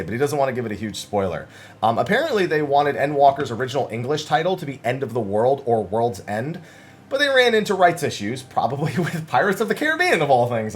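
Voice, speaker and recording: A man giving casual, conversational commentary as the host of a gaming news channel, summarizing interviews with emphasis. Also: mid-range voice.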